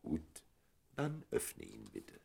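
A man's voice: rumbling low, huge pitch swings, slow pace, vocal fry, background noise, exaggerated voice. A man playing a wise, self-sacrificing diplomat in his fifties in a German radio play. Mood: measured, pensive. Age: 50s. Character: fatherly 56-year-old diplomat, wise, self-sacrificing gentleman.

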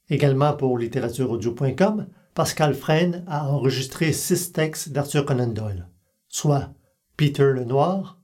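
A man's voice: fairly high pitch, a little mellow. A man hosting an audio-book podcast, reading informative announcements from a script. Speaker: podcast host.

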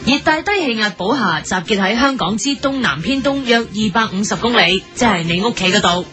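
A woman's voice: medium pitch, lively intonation, fairly quick, pushed and bright, soft background music. A woman playing a formal news anchor in a parody bulletin, with a Hong Kong Cantonese accent.

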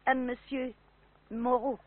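A thin, noisy old recording carries a high-pitched noblewoman. She is an anxious, easily affronted countess in a radio drama, ladylike and formal. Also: little pitch movement, slow pace. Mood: gracious. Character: genteel, anxious, indignant French countess. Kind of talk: radio play acting. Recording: narrow old radio recording, clear noise.

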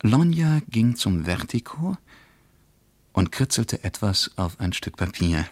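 A man playing a reflective first-person narrator recounting a journey in a radio play, with a measured, precise literary delivery. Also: fairly low pitch, big pitch swings, dry recording, exaggerated voice.

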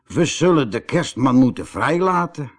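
A man's voice: medium pitch, very animated, moderate pace. A man with a Netherlands Dutch accent voicing a male thief from a gang in a children's storybook. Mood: resigned. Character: gang of male thieves, bossy then sheepish. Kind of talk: storybook character dialogue.

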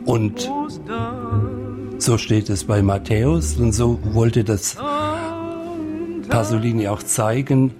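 A man's voice: fairly low pitch, fairly even intonation, takes it slowly, long pauses. A man giving spontaneous, reflective commentary on a film, ponderous and admiring, with philosophical phrasing. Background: loud music.

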